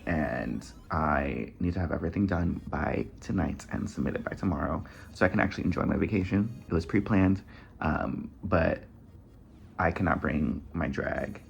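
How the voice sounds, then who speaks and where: A man competing in drag, talking quickly and a little rushed in a confessional interview. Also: rumbling low, creaky.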